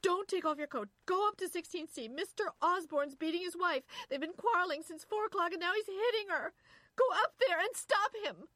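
Woman acting an anguished, high-pitched wife. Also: normal speed, bright, forceful tone, dry recording. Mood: frantic screaming. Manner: tearful, breathless pleading. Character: anguished, fretful young wife, pleading and overwrought.

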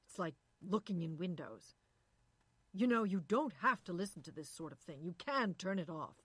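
A woman's voice: on the low side, expressive, normal speed, a bit bright, breathy edge, no echo. A woman voicing a weary, exasperated husband in a story reading, firm and remonstrating. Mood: indignant. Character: weary, exasperated husband, reasonable but resentful.

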